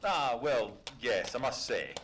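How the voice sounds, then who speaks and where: A man playing a genial, loyal gentleman companion who also narrates, polite and literary, in a live radio play. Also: mid-range voice, lively intonation, slow pace, a little hiss or hum.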